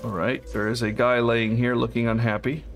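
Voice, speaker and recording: Guy doing casual game commentary, creaky voice, thinks out loud, game music behind. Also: medium pitch, level tone, fairly quick. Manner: thinking aloud in short phrases. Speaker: gamer, co-op let's player.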